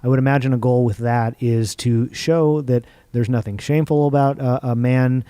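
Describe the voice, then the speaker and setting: A man's voice: medium pitch, fairly even intonation, normal speed, mellow, clean tone, dry recording. A man hosting an onstage interview, asking probing questions and often restarting his words. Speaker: interview host. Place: theater stage.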